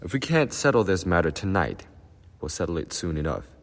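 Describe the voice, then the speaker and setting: A man's voice: deep voice, very animated, a little fast, long reverb tail, pitched above the performer's own voice. A man voicing a pompous, officious male brigade leader who bellows his announcements. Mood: angry.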